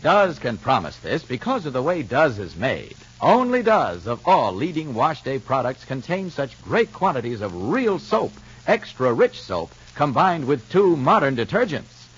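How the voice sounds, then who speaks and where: A husky male announcer in 1950s radio style. He is emphatic and rhetorical, with lively intonation. The broadcast sound is muffled and vintage. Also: medium pitch, measured pace, hardly any pauses. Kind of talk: 1950s dramatic narration and advertising.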